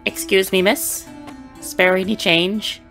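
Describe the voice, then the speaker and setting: A performer playing a sly, whimsical male beggar in an animated film, with a theatrical, grandiloquent delivery. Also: medium pitch, takes it slowly, vocal fry, soft background music, full character voice. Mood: pleading. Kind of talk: animated film character acting.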